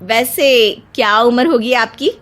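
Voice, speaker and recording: A woman voicing a smart, flirty female admirer who is coy and flattering in a comedy story. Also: on the high side, unhurried.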